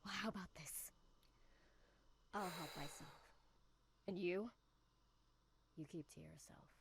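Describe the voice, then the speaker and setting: Visual novel role: guarded, sarcastic young woman played by an actress, low and bitter, faint hiss of noise. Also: slow pace, vocal fry. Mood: scornful. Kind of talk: visual novel game dialogue.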